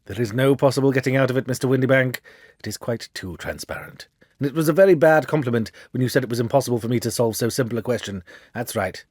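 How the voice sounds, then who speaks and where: A man voicing a shrewd, self-assured gentleman detective for a storybook, with a precise, theatrical, lecturing manner. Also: mid-range voice, fast talker, no echo, lightly acted. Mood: triumphant, suave.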